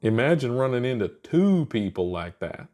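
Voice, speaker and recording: A man narrating a scripted story in an expressive way. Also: medium pitch, moderate pace.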